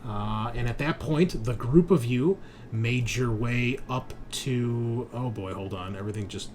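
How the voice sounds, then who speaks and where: A man's voice: medium pitch, unhurried, darkish tone. Man game master with noisy background. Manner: informal, conversational storytelling. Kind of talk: recapping a tabletop game session.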